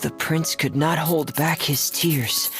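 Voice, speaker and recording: A man voicing an earnest, reserved young male diver in a game, gentle and measured, as if reading a storybook. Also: a little high, steady tone, over music. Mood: solemn storytelling.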